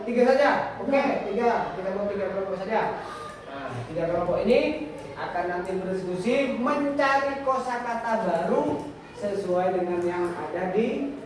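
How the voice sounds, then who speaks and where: A male primary school teacher leading a class interactively, often putting questions to the pupils. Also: high-pitched. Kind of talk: classroom teaching and story read-aloud. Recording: distant, echoey, noisy classroom recording.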